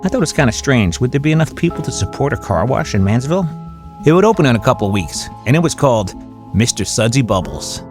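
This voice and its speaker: A man playing a small-town husband and father, narrating his memories in a measured, conversational way for a horror audio drama. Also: medium pitch, very animated, natural voice. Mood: reflective, measured. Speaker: first-person narrator. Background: music.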